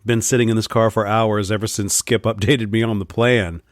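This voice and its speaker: A man's voice: lowish voice, fairly even intonation, brisk, no echo, clean studio sound, lightly acted. A man playing a smug, laid-back secret agent with a cocky, unruffled delivery in a comedic audio drama.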